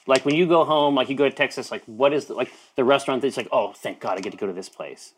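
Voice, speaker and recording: A man hosting and running a tabletop role-playing game, playful and teasing, breaking into loud excited bursts. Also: mid-range voice, fast, a little hiss or hum. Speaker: game master.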